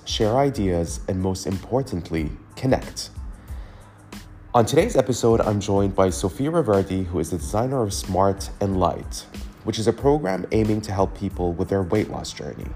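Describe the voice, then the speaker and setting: A man hosting a podcast, his voice hoarse and raspy from the flu, a bit halting as he asks questions. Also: low-pitched, darkish tone. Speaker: podcast host.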